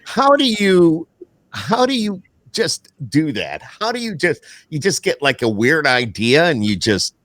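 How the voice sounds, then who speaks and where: A man's voice: mid-range voice, fairly bright tone, dry recording. An American man chatting casually as a podcast host, informal and enthusiastic. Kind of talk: casual interview chat.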